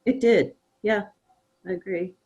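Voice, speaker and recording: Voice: medium pitch, a little slow, darkish tone, breathy edge. A person giving casual, conversational feedback after a play reading.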